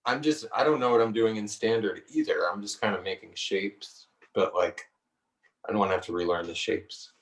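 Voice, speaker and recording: Laid-back low-voiced man on a video call. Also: moderate pace, reverberant, recorded from a distance, rough recording. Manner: hesitant, laid-back, laughs often. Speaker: singer-songwriter guest. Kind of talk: relaxed conversational interview.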